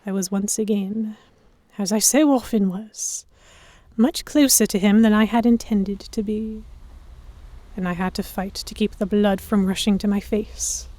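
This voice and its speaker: A woman playing a stubborn, flustered young noblewoman, narrating her own story in a measured, expressive audio drama style. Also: mid-range voice, no room sound, close to an everyday voice. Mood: tense, wistful narration.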